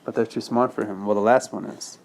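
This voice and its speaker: A man's voice: lowish voice, steady tone, a little fast, vocal fry. A man reading a bedtime story as a father to his child, patiently explaining in simple words with check-in questions.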